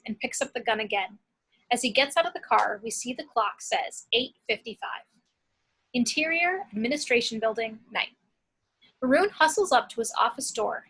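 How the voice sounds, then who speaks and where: Bright, high-voiced woman narrating a screenplay. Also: breathy edge, low-quality recording. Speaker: narrator reading screenplay action. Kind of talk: scripted narration.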